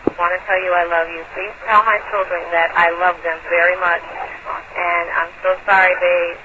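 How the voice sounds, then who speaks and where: A distressed woman trying to stay calm as she leaves a personal voicemail over the telephone. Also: fairly low pitch, normal speed. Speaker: airline passenger leaving a phone message. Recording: noisy archived answering-machine phone message.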